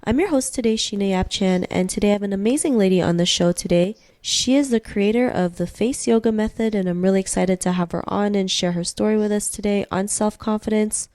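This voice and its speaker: A woman's voice: mid-range voice, fairly quick, few pauses, darkish tone, no echo. A young woman hosting an interview podcast, upbeat and formulaic in her questions. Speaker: podcast host.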